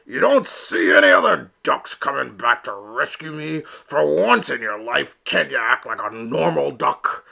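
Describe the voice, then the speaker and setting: A man voicing an old, stubborn father duck who speaks gruffly and insistently. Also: mid-range voice, expressive, measured pace, few pauses, sharp tone, full character voice. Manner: gruff, insistent and distant-sounding.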